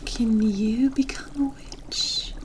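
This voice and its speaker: A woman's voice: fairly high pitch, steady tone, takes it slowly. A woman playing a mysterious, kindly witch in an ASMR roleplay, speaking in a coy, teasing and cryptic way. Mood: cryptic, reassuring.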